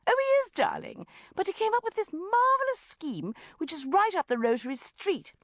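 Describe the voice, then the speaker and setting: Gushing, breathless mum, middle-aged, pitched very high and swooping, put on by a woman reader. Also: big pitch swings, moderate pace, fairly bright tone, no room sound, studio quality, full character voice.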